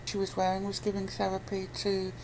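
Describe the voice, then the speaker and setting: A British woman chatting casually as an interviewee, giving a rambling, halting and blunt account. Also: mid-range voice, completely flat delivery, darkish tone, slight background noise, low-quality recording. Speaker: interviewee, abuse survivor campaigner.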